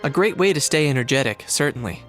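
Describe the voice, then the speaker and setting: An actor playing a composed young adventurer in a video game, calm and articulate with a dry manner, over background music. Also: male voice, on the high side, fast talker.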